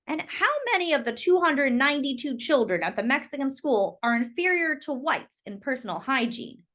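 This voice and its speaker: A woman's voice: sits high, lively intonation, normal speed, fairly bright tone. A woman voicing a male lawyer who questions a witness formally and pointedly in a storybook read-aloud. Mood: probing.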